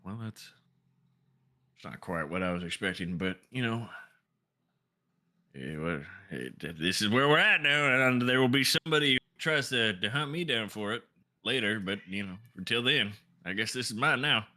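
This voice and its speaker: A man voicing a weary, unflappable male warrior who speaks in a dry, halting, resigned way. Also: on the low side, pushed and bright.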